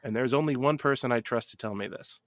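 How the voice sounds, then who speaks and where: A podcast host from New Jersey asking playful rapid-fire questions in a casual chat. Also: mid-range voice, no echo, studio quality.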